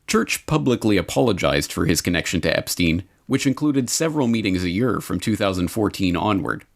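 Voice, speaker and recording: A man reading scripted documentary narration in a measured, formal manner. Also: sits low, lively intonation, vocal fry.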